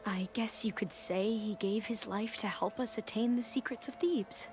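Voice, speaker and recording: An eager, jittery young diviner in a video game, voiced by a woman, her words breathy and quick to rise. Soft game music runs behind her. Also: mid-range voice, natural voice. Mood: nervous. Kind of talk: video game cutscene acting.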